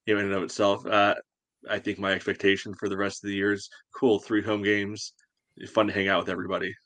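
Low, even-toned man, dry recording. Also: quick pace, dry recording. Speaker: sports podcast co-host. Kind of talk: casual soccer fan discussion.

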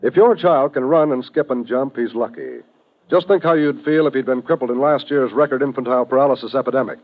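A man's voice: medium pitch, fast talker. An American man giving a formal, earnest scripted announcement in 1950s radio style. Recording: muffled old radio broadcast.

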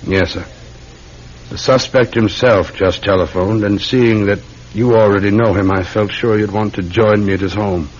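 Low-voiced, courteous police sergeant played by a man. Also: fairly even intonation, lightly acted. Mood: urgent, deferential. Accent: British. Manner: polite, measured, probing. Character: dutiful, courteous, methodical London police sergeant. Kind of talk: old-time radio drama acting. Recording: dull, noisy old radio recording.

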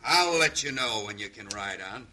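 A cocky outlaw leader from an old radio western. His voice is forceful and very bright at a middling pitch. He drawls folksy threats with a taunting edge, over a faintly noisy vintage recording. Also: expressive, normal speed. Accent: American Western drawl. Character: Jocko Lemming, cocky, murderous male outlaw gang boss. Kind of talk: old-time radio western villain acting.

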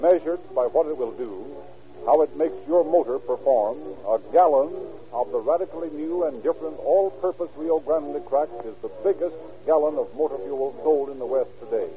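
A man's voice: a little high, normal speed, over music. A man announcing and narrating a 1930s radio program in a formal, declamatory, scripted manner. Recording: tinny, band-limited 1930s radio recording.

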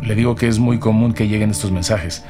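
A man playing a nervous, grieving politician under questioning in an audio drama, speaking haltingly with pauses. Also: on the low side, fairly even intonation, over music, lightly acted. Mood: nervous, guarded. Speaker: actor in a detective audio drama. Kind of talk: dramatic podcast acting.

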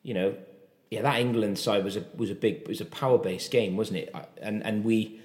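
Fast-talking man with tag questions. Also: lowish voice, a little mellow, reverberant. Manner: quick, chatty, ends points with tag questions. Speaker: podcast co-host. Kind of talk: casual sports chat.

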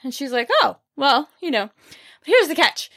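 A woman's voice: sits high, expressive, moderate pace, fairly bright tone. Chatty woman hosting a books podcast. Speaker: podcast host reviewing books. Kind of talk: casual, chatty solo book recommendations.

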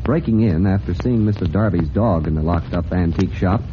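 A man's voice: low voice, a little fast. Theatrical old-time radio announcer, American man. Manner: emphatic, theatrical. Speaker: announcer and narrator. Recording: muffled, noisy old radio recording.

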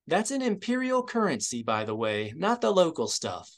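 A man's voice: fairly high pitch, huge pitch swings, moderate pace, voice pushed up. A man voicing a brash, shrewd woman hustler in an audiobook, cocky and wry as she bargains.

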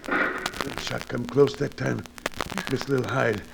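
An actor playing a loyal, injured manservant in an old radio adventure serial, speaking deferential, clipped broken English. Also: male voice, medium pitch, steady tone, takes it slowly, creaky. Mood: watchful, earnest. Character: loyal, injured male native servant and sidekick. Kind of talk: 1940s-50s radio adventure serial acting. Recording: crackly old radio recording.

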